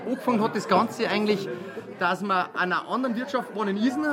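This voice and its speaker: A man in his thirties with a broad Upper Bavarian accent speaks quickly in a fairly high voice. He rambles and laughs easily. Lively chatter from a crowded inn fills the background. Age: 30s. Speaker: interviewee, regulars' table member. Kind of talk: casual interview chat. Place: busy village inn.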